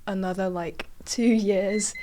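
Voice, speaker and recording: A woman's voice: mid-range voice, steady tone, takes it slowly, warm tone, a little hiss or hum, low-quality recording. A young woman from Korea who grew up partly in Dubai, talking casually about herself as a podcast crew member. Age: young adult. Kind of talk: casual self-introduction.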